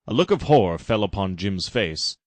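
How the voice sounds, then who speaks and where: A man reading a parody fan story aloud in a deadpan narrating manner. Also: lowish voice, normal speed, no echo. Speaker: reader.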